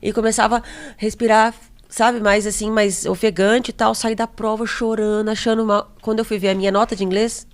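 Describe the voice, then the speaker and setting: Woman, medium pitch, chatty storyteller, casual podcast talk. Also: little pitch movement, a little fast. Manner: chatty, tells stories with many restarts. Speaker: flight attendant, podcast co-host.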